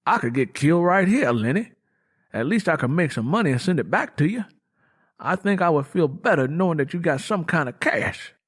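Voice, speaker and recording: A man's voice: fairly high pitch, big pitch swings, fairly quick, husky, voice pushed up. Male narrator as poor country man, plain-spoken, determined, folksy, swooping intonation, audio drama. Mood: resolute.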